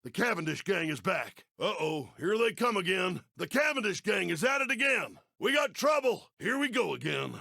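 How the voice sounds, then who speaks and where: A man's voice: high voice, big pitch swings, moderate pace, vocal fry, few pauses, sharp tone, no echo, studio quality, exaggerated voice. A man playing a folksy, aging, kindly but worried Old West sheriff in a video game, with an American Western accent and neighborly, drawling speech. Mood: alarmed, urgent. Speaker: mission-giving game character. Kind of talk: video game character acting.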